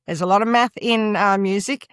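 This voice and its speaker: An older woman with an Australian accent speaks emphatically, stressing her points again and again. Her voice sits at a middle pitch. It is recorded close and dry, with no room sound. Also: normal speed, a bit bright, clean tone, dry recording. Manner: emphatic, leans on "absolutely" and "et cetera". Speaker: guest, former school principal. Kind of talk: interview conversation.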